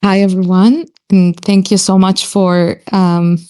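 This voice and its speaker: A woman's voice: medium pitch, little pitch movement, slow pace, dark tone, smooth. A woman in her thirties who grew up in Israel, a native Arabic speaker, speaking earnestly with frequent hesitations as a guest in a live online audio discussion. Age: 30s.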